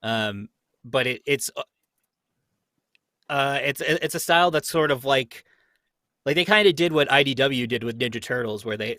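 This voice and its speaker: A man's voice: mid-range voice, sharp tone, no echo, clean studio sound. A young man chatting casually as a fan, opinionated and digressive, often dropping in "like". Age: young adult. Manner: opinionated, digressive, frequent use of "like".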